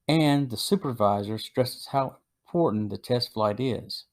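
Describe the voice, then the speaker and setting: A man hosting a podcast and reading a scripted review of old radio episodes. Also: mid-range voice. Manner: steady, even read-aloud delivery. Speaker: podcast host.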